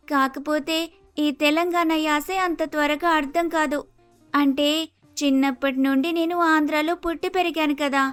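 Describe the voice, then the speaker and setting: Woman playing a high-voiced, naive Andhra young daughter-in-law. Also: a bit bright. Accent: Andhra Telugu. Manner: deferential, hesitant, innocent. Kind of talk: Telugu moral story cartoon dialogue.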